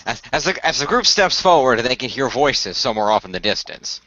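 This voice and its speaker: A man's voice: medium pitch, sing-song, a little fast, bright, forceful tone, no room sound, dull audio. A man narrating scenes in a dramatic storytelling style for a radio play. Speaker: narrator and game-master-like storyteller.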